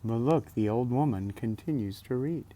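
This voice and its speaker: A man reading a children's picture book aloud expressively, drawing out words, pausing and adding casual asides. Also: medium pitch. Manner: expressive, with drawn-out words and pauses. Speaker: storybook reader.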